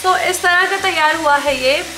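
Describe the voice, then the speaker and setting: A woman talks casually, like a home cook vlogging. She mixes English into her chat. The sound is roomy, with clear kitchen noise behind her. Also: extremely high pitch, measured pace. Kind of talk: casual chat while cooking, mixing in English.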